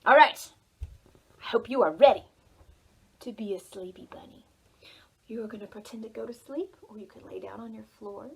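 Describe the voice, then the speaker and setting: A woman children's librarian doing an animated read-aloud for preschoolers, expressive, with dramatic pauses and sing-song emphasis. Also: on the high side, creaky, slightly rough, poor audio. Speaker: children's librarian, storytime host.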